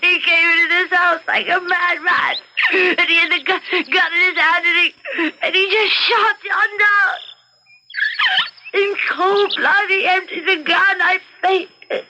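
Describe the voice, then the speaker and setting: High-voiced scheming housewife, radio mystery. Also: female voice, steady tone, pushed and bright, a little hiss or hum, heavily acted, low-quality recording. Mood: tearful, feigned grief. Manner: theatrical, deliberate. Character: scheming housewife, murderous, feigning hysterical grieving widow. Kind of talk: old-time radio mystery acting.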